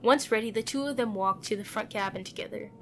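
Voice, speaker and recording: A woman's voice: mid-range voice, fast talker, a bit bright. Woman reading a story game over music. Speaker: player reading a visual novel aloud. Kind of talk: dramatic reading of narration and character dialogue. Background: background music.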